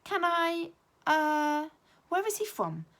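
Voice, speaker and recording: A woman's voice: sing-song, takes it slowly, exaggerated voice. A woman doing eager little schoolkids. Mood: excited. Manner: high, loud and eager. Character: excited young schoolchildren, girls and boys.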